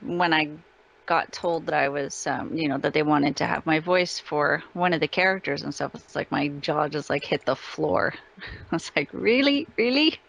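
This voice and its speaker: A woman's voice: sits low, normal speed, gravelly, lo-fi sound. A woman giving a casual interview as a guest, cheerful and informal in manner. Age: adult parent of a young child.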